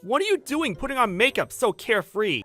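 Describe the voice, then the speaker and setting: A selfish, whiny husband, voiced by a woman for a manga dub. He talks in a blunt, demanding way, and his fairly high voice swings widely up and down. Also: sing-song, fairly quick, fairly bright tone, soft background music. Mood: complaining. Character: selfish adult husband, dismissive of housework.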